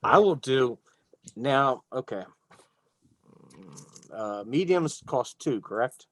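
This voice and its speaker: A man's voice: medium pitch, expressive, slow, a bit bright, no echo. A man talking casually as a player in an online tabletop role-playing game.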